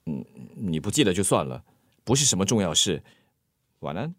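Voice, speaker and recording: A man acting in a radio drama as a shy, awkward young suitor who teases gently. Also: low-pitched, sing-song, moderate pace, heavily acted. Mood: gentle, subdued.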